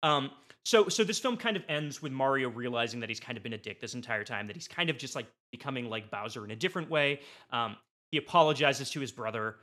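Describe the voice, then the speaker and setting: A man with a bright, forceful voice of middling pitch speaks as a podcast panelist. He pitches casually and comically, keeping a mock-earnest manner. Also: lively intonation, fast talker.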